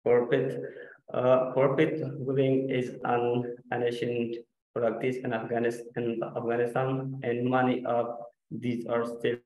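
A man's voice: mid-range voice, monotone, a little slow, a little mellow. A man with English as a second language giving a student presentation over a video call, hesitant with many fillers and restarts. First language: not English. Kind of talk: halting slide presentation. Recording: muffled, echoey video call audio.

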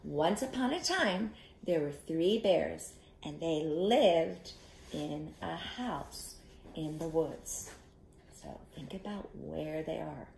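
A middle-aged woman telling an interactive story to young children, expressive and instructive, inviting them to join in, recorded in a living room. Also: low voice, takes it slowly, creaky, roomy sound, slight background noise. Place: small living room, indoors. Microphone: camera a short distance away.

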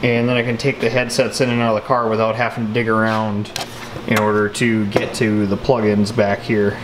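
A man's voice: on the low side, level tone, brisk, heavy background noise. Man talking casually in an echoey garage. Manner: informal, explains step by step. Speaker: car builder and vlog host. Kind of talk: casual how-to project narration. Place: indoor garage workshop. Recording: echoey room.

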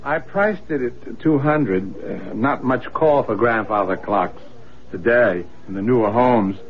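A man's voice: lowish voice, a little slow. A man playing a polite, plain-spoken seller of household goods in a radio drama. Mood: obliging. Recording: dull lo-fi radio recording.

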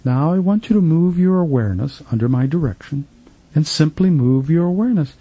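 A man leading a guided meditation as a spiritual teacher, gently instructing in a soothing, repetitive manner with trailing phrase endings, recorded in a studio. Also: a little high, lively intonation, a little fast, slightly rough, dry recording. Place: professional studio.

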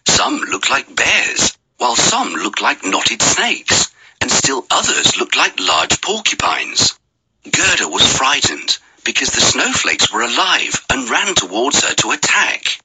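Raspy, animated male storybook narrator. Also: medium pitch, rough recording. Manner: dramatic, expressive storytelling. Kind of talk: scripted children's story narration.

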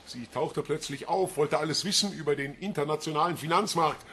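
An actor playing a polite, evasive man under police questioning in a crime radio play, speaking in measured, defensive sentences. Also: fairly high pitch, fairly bright tone, breathy edge. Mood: guarded. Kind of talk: radio crime drama acting.

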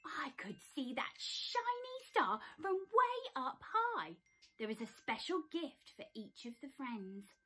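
A woman's voice: sits high, very animated, slow pace, a bit bright, exaggerated voice. A jolly storybook Father Christmas, acted out by a woman, speaks grandly and kindly with a chuckle in the delivery. The voice swings widely and takes its time.

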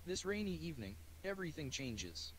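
Synthetic male narrator, slightly high, even mechanical reading, faint noise. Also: brisk, low-quality recording. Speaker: text-to-speech reader. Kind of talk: first-person story narration.

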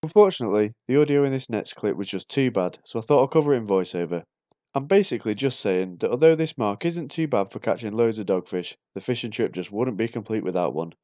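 A man's voice: on the low side, animated, quick pace, mellow. A man, narrating a casual, explanatory voiceover for his video.

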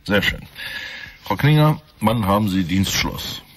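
An actor playing a shrewd, courteous police inspector in a radio drama, smoothly probing. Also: male voice, lowish voice, expressive, unhurried, slight background noise. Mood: courteous, brisk. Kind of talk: German radio drama acting.

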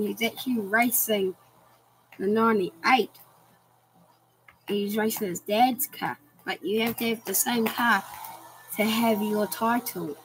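A woman's voice: on the high side, a little hiss or hum, low-quality recording. A woman giving casual, eager commentary on a racing game and building hype with questions. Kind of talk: casual hobby race commentary.

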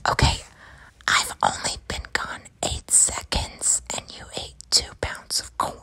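A woman's voice: sharp tone, low-quality recording. Woman acting a whispering, timid young waitress. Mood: exasperated. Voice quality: breathy whisper. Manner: hesitant, stammering, slow. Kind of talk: scripted ASMR comedy roleplay.

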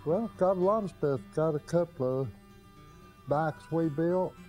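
An older man and garage owner answering questions in a plainspoken, hesitant way with many pauses, speaking in a large cluttered garage workshop. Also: a little high, dark tone, faint music behind. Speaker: car restorer, garage owner. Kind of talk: casual interview answers.

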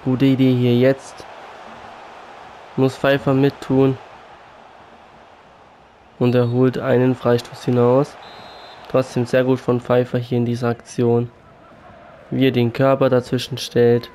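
A man with a cold and a sore throat, calmly commentating his own football video game match. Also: medium pitch, takes it slowly, pauses often, a little hiss or hum. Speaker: gaming YouTuber. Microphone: USB condenser mic. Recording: faint game music behind.

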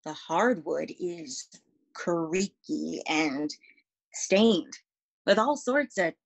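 A young woman performing a dramatic monologue as an anxious, bewildered girl, pausing deliberately mid-sentence. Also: lowish voice, lively intonation, creaky, exaggerated voice. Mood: uneasy, observant. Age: young adult. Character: anxious, bewildered girl alone in a stranger's room. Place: small room indoors at home. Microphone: webcam at arm's length.

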